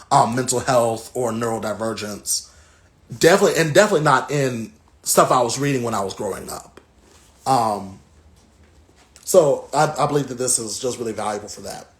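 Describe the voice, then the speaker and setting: An American man from the South giving a reflective book talk and reading aloud, thoughtful and halting, with frequent pauses. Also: mid-range voice. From Southern United States. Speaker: guest reader.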